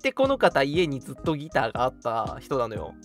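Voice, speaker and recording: A man's voice: mid-range voice, wildly swooping pitch, a little fast. A young man hosting a casual radio chat, talkative, explaining things with asides and little acted-out remarks. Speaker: radio show host. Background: background music.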